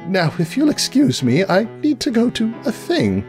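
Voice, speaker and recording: A man's voice: high-pitched, huge pitch swings, moderate pace, a little mellow, soft background music, voice pushed up. A man voicing a prissy, squeamish lady unicorn who is theatrically and queasily polite in a fussy cartoon manner. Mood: strained politeness. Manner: fussy, flustered, stammering politeness.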